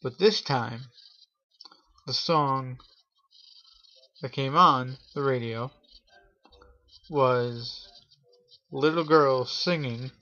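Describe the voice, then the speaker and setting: A man hosting a Halloween story show, telling a spooky tale in a theatrical showman's manner with drawn-out pauses. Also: mid-range voice, bright, forceful tone. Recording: dull lo-fi audio with clear noise.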